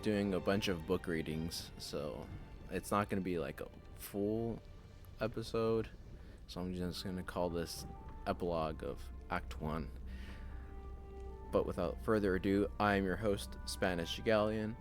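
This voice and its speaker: A man hosting a casual game play-through, talking in a loose, rambling way with a slightly raspy voice. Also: low-pitched, measured pace, soft background music. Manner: loose and rambling, with many fillers. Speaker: host.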